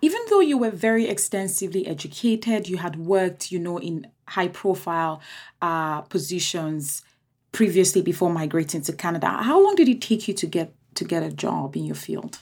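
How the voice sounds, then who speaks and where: A woman's voice: lowish voice, lively intonation, moderate pace, darkish tone, slightly rough. A woman interviewing a guest, setting up her questions with long framing remarks. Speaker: interviewer, host.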